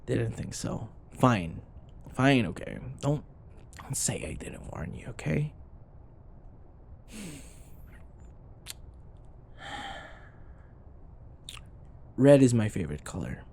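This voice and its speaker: A man acting a teasing, flustered young office rival in an intimate ASMR boyfriend roleplay, halting and sometimes dropping into breathy murmurs and sighs. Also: mid-range voice, sing-song, a little mellow, full character voice. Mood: reluctant, flustered.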